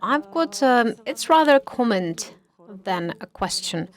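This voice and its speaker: Slow-speaking woman interpreter. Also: on the high side, sing-song. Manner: unhurried, hesitant with fillers. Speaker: conference interpreter. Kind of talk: simultaneous interpretation at a congress.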